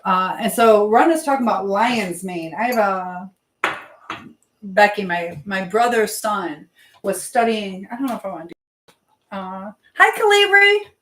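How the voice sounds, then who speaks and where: A woman's voice: mid-range voice, unhurried, a little hiss or hum, rough recording. A middle-aged woman hosts a casual live chat in a mid-range voice. She rambles along, hopping between viewers' comments and her baking. Her talk is informal and unscripted. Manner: chatting while baking. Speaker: live stream host.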